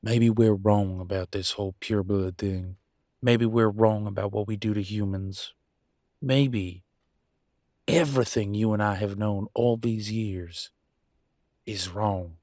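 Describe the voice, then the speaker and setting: Man acting a low-voiced, defiant werewolf alpha. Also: fairly even intonation, darkish tone, dry recording, clean studio sound. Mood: pleading. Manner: emphatic, colloquial, with dramatic pauses and swearing. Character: Damien, conflicted male werewolf pack alpha, defiant and remorseful. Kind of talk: scripted audio roleplay, one side of an argument.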